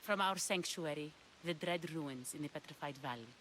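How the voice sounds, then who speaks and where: A woman's voice: low-pitched, normal speed, a bit bright, a little hiss or hum. An actress playing a lovesick, desperate woman of ancient Greece in a video game, with an earnest, pleading delivery. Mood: yearning, urgent. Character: lovesick, desperate ancient Greek woman seeking her lover. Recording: music behind the dialogue.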